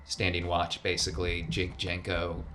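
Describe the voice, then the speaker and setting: A man's voice: sits low, monotone, normal speed, bright, forceful tone, slight background noise. A man working as game master, narrating scenes in a tabletop role-playing game.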